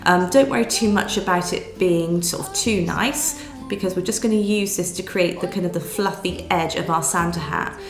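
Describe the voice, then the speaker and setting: A woman, nasal and croaky from a cold, patiently talking through a needle felting tutorial step by step. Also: lowish voice, moderate pace, hardly any pauses. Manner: patient step-by-step explaining with fillers. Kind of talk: craft tutorial voice-over. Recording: roomy sound with soft music behind.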